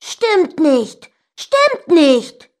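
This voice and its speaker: A woman's voice: on the high side, a little slow. A woman voicing an indignant young child who tells stories about an older sister, expressively and straight to the listener. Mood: defiant, boastful. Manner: expressive, emphatic, addressing the listener directly. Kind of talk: scripted children's story narration.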